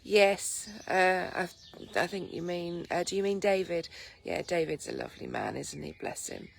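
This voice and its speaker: A woman with an English accent chatting casually on a morning livestream from her garden. She has a croaky voice just after waking and rambles in a relaxed way, with many ums. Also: on the low side, normal speed, slight background noise. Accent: English, Lincolnshire. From England. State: just woken, sleepy. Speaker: vlogger greeting live viewers. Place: outdoors in a garden. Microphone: phone camera. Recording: outdoor phone audio with loud birdsong. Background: birdsong and light breeze.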